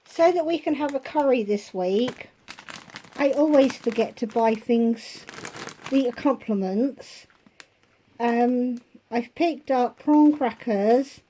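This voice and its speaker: Slow-talking hoarse woman vlogger. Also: a little high, mellow, a little hiss or hum, rough recording. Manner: chatty, unhurried, itemizing prices. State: hoarse, losing her voice. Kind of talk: casual shopping haul chat. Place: home.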